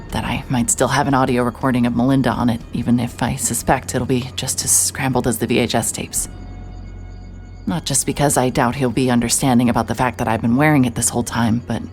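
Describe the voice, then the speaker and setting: An actress playing a hard-hearted private investigator who stammers and restarts when anxious, also narrating in first person. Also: female voice, rumbling low, steady tone, brisk, darkish tone, soft background music. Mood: reflective. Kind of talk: audio drama acting and first-person narration.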